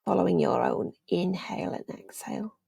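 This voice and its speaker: A woman speaks as a meditation guide, slow and soothing with long pauses. Her voice is husky and very creaky, often fading toward a whisper. The sound is close and dry. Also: lowish voice, animated, no echo. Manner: gentle, soothing, unhurried with long pauses, trailing into near-whisper. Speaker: meditation guide, yoga teacher.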